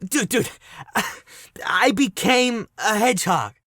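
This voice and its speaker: A woman playing an excitable, panicky teenage boy in an audio drama, frantic and exasperated. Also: mid-range voice, slow, no room sound, studio quality.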